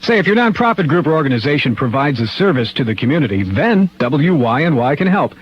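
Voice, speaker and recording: A man's voice: a little high, big pitch swings, quick pace, slightly rough. A man working as a 1980s music radio DJ, with an upbeat announcer delivery and wry asides. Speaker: radio DJ filling in for another host. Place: radio studio. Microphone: studio broadcast microphone. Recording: dull lo-fi radio aircheck, loud music. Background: loud music.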